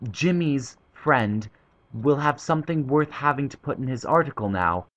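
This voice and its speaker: A man's voice: mid-range voice, expressive, moderate pace, a bit bright, pitched above the performer's own voice, lightly acted. A man voicing a matter-of-fact, knowing boy in a storybook.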